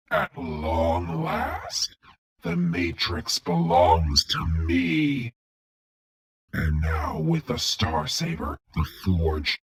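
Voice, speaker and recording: Tyrannical robot overlord, gravelly and husky, menacing, wide dramatic swings, cartoon villain. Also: male voice, a little high, a bit bright, heavily acted. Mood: triumphant, menacing. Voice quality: gravelly, raspy. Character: tyrannical evil robot warlord, vengeful, grandiose, hateful. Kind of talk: scripted villain voice acting demo.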